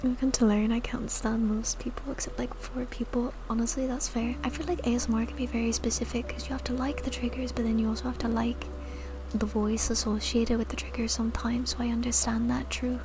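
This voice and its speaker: A woman streamer whispering soft, giggly ASMR chat to her viewers into a sensitive mic. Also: a little high, little pitch movement, brisk, background noise. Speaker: VTuber streamer.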